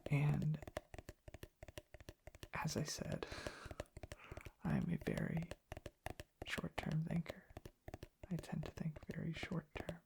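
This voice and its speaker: An ASMR host speaking softly in a hushed, reflective, unhurried ramble. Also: medium pitch, level tone, vocal fry. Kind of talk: soft-spoken ASMR ramble.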